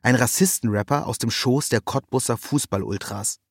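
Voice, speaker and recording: A man with a mid-pitched voice reads a first-person literary narrative. His delivery is measured and ironic, and the recording is dry, with very little room sound. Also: no room sound.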